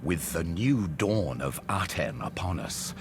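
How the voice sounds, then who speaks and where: An actor playing a grave, wise old mystic in a video game, with a gravelly, measured, ominous delivery. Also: male voice, deep voice, very animated, music in the background, exaggerated voice. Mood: solemn, foreboding. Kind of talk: solemn video game storytelling.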